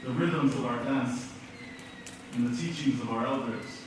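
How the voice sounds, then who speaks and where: A middle-aged man from American Samoa giving a measured, earnest speech at an awards ceremony, telling his story with pauses. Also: medium pitch, background noise. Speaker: keynote speaker. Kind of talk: prepared speech. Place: school auditorium. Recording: echoey hall from far off.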